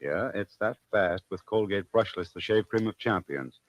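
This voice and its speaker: A man's voice: fairly low pitch, animated, moderate pace, no room sound. A man announcing a 1940s radio commercial with punchy, slangy salesmanship. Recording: dull vintage 1940s radio recording.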